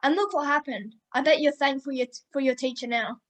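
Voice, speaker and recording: A woman's voice: high-pitched, fairly quick, a bit bright. A woman hosting an interview podcast, upbeat and friendly, asking questions and calling her guest mate. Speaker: podcast host.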